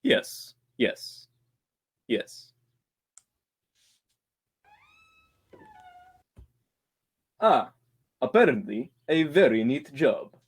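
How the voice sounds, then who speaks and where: A suave, scheming doctor from an old-time radio thriller, performed by a man. The delivery is very slow and drawn out, swinging widely in pitch, with theatrical courtesy covering sly calculation. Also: sing-song, breathy edge, full character voice. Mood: eager, sly. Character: suave, scheming male doctor who hires killers.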